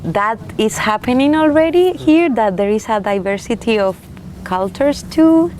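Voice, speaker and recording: A young Chilean woman, a winemaker, speaking unhurriedly and haltingly in an oral history interview with soft laughs. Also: a little high, lively intonation, slight background noise. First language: Spanish.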